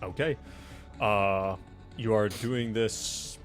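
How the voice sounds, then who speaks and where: Male game master, lowish voice, informal and descriptive, speaking straight to players over background music. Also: fairly even intonation, extremely slow. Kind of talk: narrating a tabletop role-playing game.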